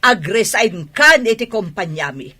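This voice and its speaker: Sharp, husky actress as wronged wife. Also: female voice, mid-range voice, sing-song, unhurried, soft background music, full character voice. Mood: accusing. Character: proud wronged wife, bitter and commanding. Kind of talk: Ilocano radio drama acting.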